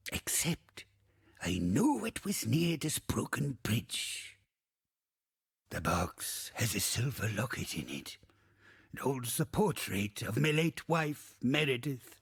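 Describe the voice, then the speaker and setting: Salty undead old pirate captain, video game role: gravel-throated, slow-rolling, swaggering and foul-mouthed. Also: male voice, mid-range voice, a bit bright, dry recording, studio quality, exaggerated voice. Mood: wistful. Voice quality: gravelly, husky, raspy. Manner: archaic pirate dialect, swaggering, theatrical, cursing. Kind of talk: video game character acting.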